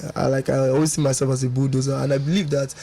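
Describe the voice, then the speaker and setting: A man's voice: medium pitch, level tone, racing, vocal fry, dark tone, slight background noise. A young man, a confident guest on a radio interview who laughs often and talks himself up. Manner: boastful, upbeat, laughs often. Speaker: reality show ex-contestant guest. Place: radio studio.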